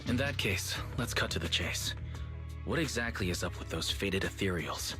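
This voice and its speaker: An actor playing a tactful, level-headed young man in a video game, polite and gentle. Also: low-pitched, animated, normal speed. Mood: composed. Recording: music under the voice. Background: music.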